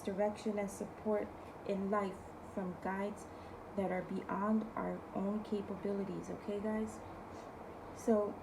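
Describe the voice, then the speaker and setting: A woman with a New York accent hosting a casual spiritual talk as a tarot reader, chatty and often checking in with her viewers, her voice hoarse from overuse. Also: mid-range voice, noisy background. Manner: chatty, keeps asking okay guys. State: losing her voice. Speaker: tarot reader and video host.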